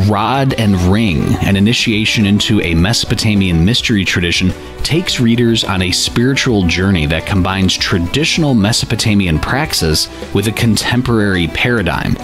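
A man hosting a podcast, introducing his guest and asking playful, enthusiastic questions. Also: on the low side. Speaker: podcast host.

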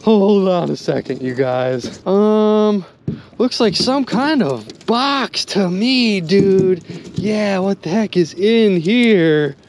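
Man, young adult, excited casual vlog narration, outdoors on a boat, very animated pitch. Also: slow, slight background noise. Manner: animated, exclaiming, chatty with viewers. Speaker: magnet fishing vlogger. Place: on a small boat on a river, outdoors.

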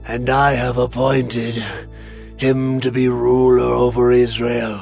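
An actor playing an old, solemn king in a dramatized audio Bible, with a gravelly voice and halting, deliberate phrasing. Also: medium pitch, monotone, darkish tone, faint music behind. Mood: solemn, commanding. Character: old, solemn, dying king issuing royal commands.

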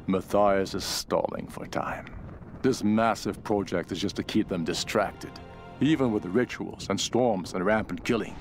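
A male actor voices a hardened survivor turned cult henchman in a video game. His voice is low and grim, with a world-weary, ruthless edge. He reads his journal dramatically over music. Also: moderate pace, lightly acted. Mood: scheming. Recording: music behind the voice.

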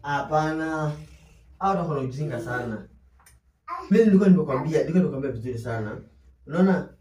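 A man's voice: on the high side, lively intonation, fast, a little mellow, lo-fi sound. A man in his twenties with a Kenyan accent in a casual phone conversation, mixing Swahili and English. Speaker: boyfriend on a phone call. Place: living room. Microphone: camera across the room. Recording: distant echoey room with clear noise.

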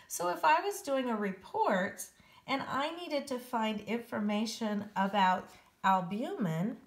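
A woman, an elementary school teacher, reading a children's nonfiction book aloud with careful phrasing for young listeners. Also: on the high side. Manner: careful, clearly phrased read-aloud delivery with emphasis for children. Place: indoors at home, hard-surfaced kitchen. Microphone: handheld phone. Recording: echoey room, handheld home recording.

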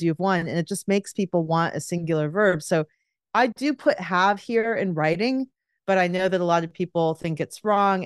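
A woman's voice: sits low, smooth, dry recording, studio quality. A middle-aged woman on a video call, patiently explaining a grammar point step by step as a guest expert.

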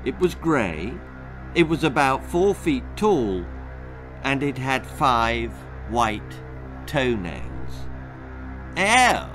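A man in his sixties with an English accent, narrating a children's story in a theatrical, expressive manner. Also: medium pitch, takes it slowly, over music, rough recording. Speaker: author reading own children's story. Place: small book-lined room indoors.

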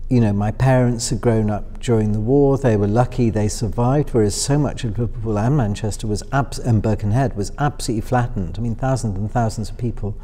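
Low mellow man with a soft Northwest English accent. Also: brisk, hardly any pauses. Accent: upper-middle-class Northwest English softened by London. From Northwest England. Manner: musing, many fillers and restarts, wry laughs. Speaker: interviewee. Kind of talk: reflective interview answers. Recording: voice with long hall reverb.

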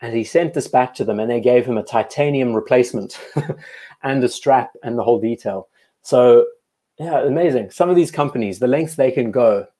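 A man's voice: medium pitch, normal speed, mellow. A man hosting a live watch stream, chatting casually and thinking aloud as he comments on viewers' photos, often restarting his sentences. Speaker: watch show host.

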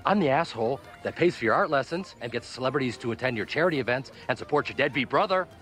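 Male actor as harried surgeon, quick speech, medium pitch, defensive, exasperated, TV comedy-drama, faint music. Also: animated, fairly bright tone, close to an everyday voice. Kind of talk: scripted screen acting.

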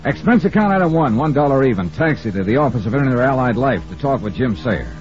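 An actor playing a brisk, wry insurance investigator in a 1950s radio detective drama, narrating crisply in the first person. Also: male voice, medium pitch, very animated, lightly acted. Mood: brisk narration. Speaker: narrator and lead of a detective serial. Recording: muffled old radio recording with music.

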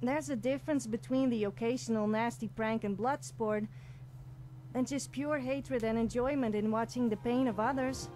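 A cheeky young warrior-mage companion from a fantasy video game, played by a woman. Her voice sits fairly high, wry and self-satisfied, with a boastful, teasing streak. There is slight noise behind her. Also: fairly even intonation, normal speed, a little mellow, close to an everyday voice, poor audio. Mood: teasing, defensive. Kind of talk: scripted video game dialogue.

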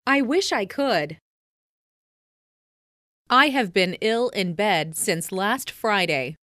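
A woman reads scripted English practice phrases in a clearly enunciated, instructional way. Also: mid-range voice, animated, slow, fairly bright tone, no room sound, studio quality. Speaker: language lesson narrator.

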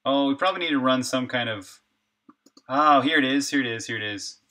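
A man's voice: mid-range voice, a little fast, pushed and bright. A man streaming his game development live, talking casually through his code and reacting to chat. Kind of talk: live coding stream, thinking aloud.

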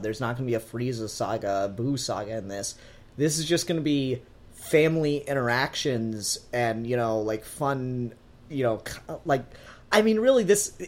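A man's voice: on the high side, darkish tone. A man co-hosting a podcast, chatting casually and enthusiastically as a fan of animation. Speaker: podcast co-host.

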